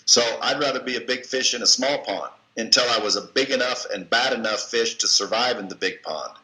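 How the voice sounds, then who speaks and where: A man's voice: medium pitch, a little fast, pushed and bright. A man as an interview guest, giving blunt, coaching advice in a folksy way and cursing casually. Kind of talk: motivational advice in conversation. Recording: echoey room.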